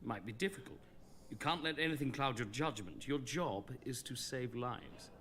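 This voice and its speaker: An actor playing a stern, brisk senior male healer giving orders in an audio drama. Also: mid-range voice, lively intonation, fairly bright tone, slight background noise, close to an everyday voice.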